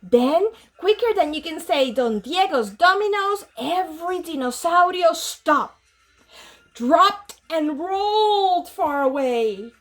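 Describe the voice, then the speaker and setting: A woman with a very high, lively voice gives a children's read-aloud. She takes her time, tells it dramatically and switches between English and Spanish. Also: takes it slowly. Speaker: storybook reader, teacher.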